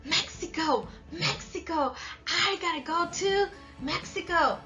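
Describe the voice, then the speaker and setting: Woman voicing a tiny, eager, high-pitched caterpillar. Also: slow pace, pushed and bright, faint music behind, exaggerated voice. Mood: determined, eager. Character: tiny, determined young female caterpillar.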